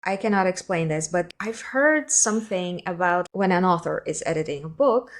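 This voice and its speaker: A woman with a faint Russian accent hosts a podcast chat, her voice on the low side. She asks curious questions and gropes a little for her words. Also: animated, moderate pace. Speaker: podcast host.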